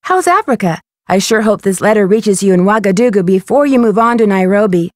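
A woman playing a young American amateur detective in a mystery video game, polite, earnest and inquisitive, speaking in crisp scripted lines. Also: medium pitch, expressive, a little fast, no room sound, natural voice. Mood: cheerful, eager.

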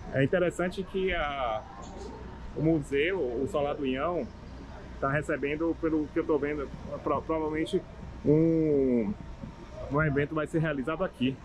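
A man's voice: on the high side, a little slow, long pauses, a little hiss or hum, rough recording. A young man from Salvador with a Bahian accent, chatting casually as he walks viewers around an outdoor neighborhood, pointing things out as he goes. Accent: Bahian Brazilian Portuguese. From Salvador, Bahia, Brazil. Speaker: travel vlogger. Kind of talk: casual walking-tour vlog. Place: outdoors on a seaside hillside street.

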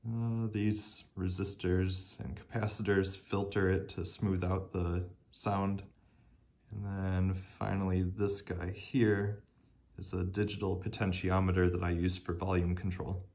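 A man's voice: sits low, darkish tone. A man explaining his own electronics project in a plain, matter-of-fact way, recorded indoors at home by a camera. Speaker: hobbyist maker showing his project. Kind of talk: casual tech demo narration. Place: indoors at home, over a wooden table.

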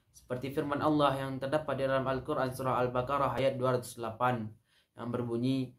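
A young man in his late teens from West Sumatra delivering a formal Friday sermon in a measured, solemn way, chanting the verses he recites. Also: mid-range voice, slight background noise. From West Sumatra, Indonesia. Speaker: student sermon contestant.

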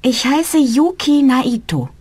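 A woman plays a polite young student asking simple questions in a language-course dialogue. Her voice sits fairly high with lively intonation and a touch of breathiness. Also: takes it slowly, breathy edge, exaggerated voice. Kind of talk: slow scripted learner dialogue.

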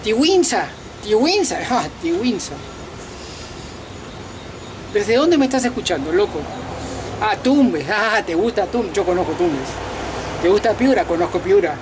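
A man in his fifties from Peru, talking straight to the camera outdoors at night in a casual, slangy, animated monologue. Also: high-pitched, unhurried, long pauses, noisy background. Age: 50s. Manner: animated, talks to viewers directly with slangy asides. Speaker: vlogger and self-declared political candidate. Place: outdoors at night on a balcony over a lit city.

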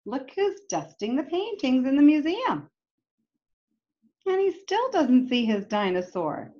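A woman's voice: high-pitched, big pitch swings, unhurried, muffled recording. A middle-aged woman reading a picture book aloud expressively to young children, with little asides to them. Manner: addresses young listeners with questions and asides. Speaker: storytime reader. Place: indoors, home room with bookshelves.

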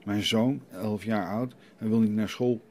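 A man voicing a meek, troubled father at confession in an audio drama, husky and hesitant. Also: on the low side, fairly even intonation, a little slow, a little mellow. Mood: uncertain. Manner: hesitant, uncertain.

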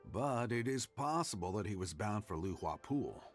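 A man's voice: lowish voice, animated, normal speed, lightly acted. A man voicing a plain adult villager in a video game, matter-of-fact and informative. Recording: game audio with music behind.

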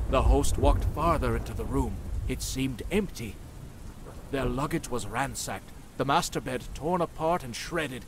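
A man's voice: medium pitch, noisy background. A man giving dramatic scripted narration as the narrator of a horror story.

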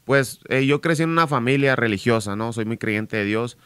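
Mexican male guest, 30s, relaxed and slangy, smooth resonant tone, dry close studio mic. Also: medium pitch, moderate pace, no echo. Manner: laid-back, slangy, laughs easily. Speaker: musician guest. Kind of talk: casual radio interview. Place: radio studio. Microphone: studio mic on boom arm.

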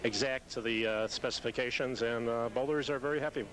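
A middle-aged American man answers as a shop owner being interviewed. He talks quickly at an average pitch into a held microphone, with faint noise behind him. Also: fairly even intonation, a bit bright, rough recording. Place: indoor bowling alley. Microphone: interviewer's handheld microphone. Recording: faint background noise on videotape.

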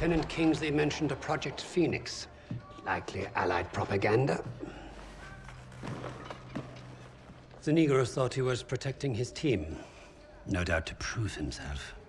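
An actor playing an educated, condescending Nazi interrogator officer, measured and self-important in a game cutscene. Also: male voice, mid-range voice, big pitch swings. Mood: reporting, condescending. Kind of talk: cinematic game cutscene acting.

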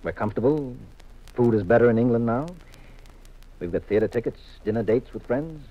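An actor playing a confident, teasing American husband detective in a 1950s radio mystery, with a wry, assured manner. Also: male voice, mid-range voice, animated, a little fast, natural voice. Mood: relaxed, reassuring. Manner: wry, assured, leading questions. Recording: muffled vintage radio recording.

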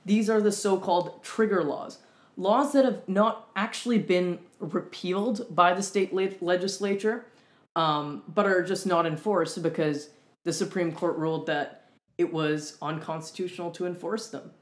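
A young Canadian man co-hosting a talk show, explaining in an earnest, measured way with deliberate pauses. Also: high voice, steady tone. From Winnipeg, Canada. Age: young adult. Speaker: co-host. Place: room at home with whiteboard and fridge, indoors.

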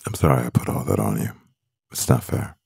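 A man playing a stressed, devoted CEO boyfriend in an intimate ASMR roleplay, murmuring with a husky, gravelly voice and pauses. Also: very deep voice, darkish tone, no room sound, studio quality, full character voice. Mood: weary, tender.

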